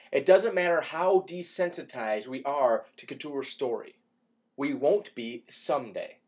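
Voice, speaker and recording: A man giving a dramatic, emphatic reading of an opinion column, shifting between hushed lines and punchy rhetorical questions. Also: fairly high pitch, breathy edge. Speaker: radio show host reading an opinion column.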